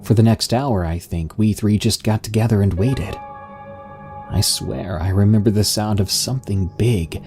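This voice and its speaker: A man with a low voice narrates a first-person story in a measured, scripted way. Clear music plays behind him throughout.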